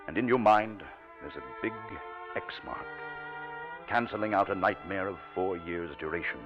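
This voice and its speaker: An actor playing a calm, reassuring male doctor in a 1940s radio drama. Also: low-pitched, normal speed. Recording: muffled old radio, faint music.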